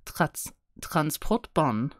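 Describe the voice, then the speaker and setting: A woman's voice: low-pitched, big pitch swings, very slow. A woman co-hosting a podcast in casual, playful chat, laughing often and guessing aloud. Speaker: podcast co-host.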